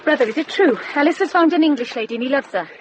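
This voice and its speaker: An actress playing an eager, outspoken, warm-hearted young woman in a radio drama. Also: high voice, lively intonation, racing. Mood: bright, eager. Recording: dull sound, noisy ambience behind.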